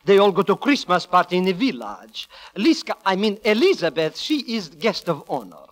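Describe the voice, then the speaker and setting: A man's voice: high-pitched, moderate pace. A man playing a flustered foreign uncle and nursemaid in 1940s radio comedy style. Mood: bumbling, amiable. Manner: excitable, simple, flustered.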